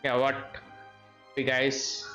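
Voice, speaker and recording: Real low voiced woman doing live gameplay commentary, short puzzled reactions, quiet game music behind. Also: monotone, takes it slowly. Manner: short, puzzled interjections, repeats game lines. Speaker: game streamer.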